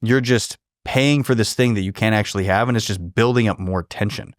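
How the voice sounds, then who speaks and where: An American man with a fairly low voice talks as a podcast co-host. He tells stories casually in laid-back, swearing bro slang. The sound is dry, with very little room to it. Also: a little fast, dry recording. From raised in a household of Arabic grandparents and cousins, half Vietnamese. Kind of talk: casual chat, storytelling.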